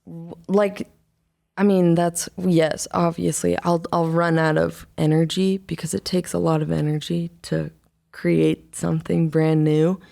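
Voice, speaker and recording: A young woman, an artist, talking casually in an interview, rambling and halting with many fillers and restarts. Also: lowish voice, little pitch movement, gravelly, warm tone. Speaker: album artist, interview guest. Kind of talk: casual conversation.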